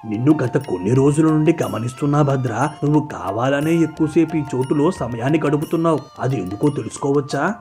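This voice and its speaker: A man playing a calm, patient village teacher in an animated moral story, speaking in a measured, gently instructive way. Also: fairly high pitch, faint music behind, lightly acted. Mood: gentle.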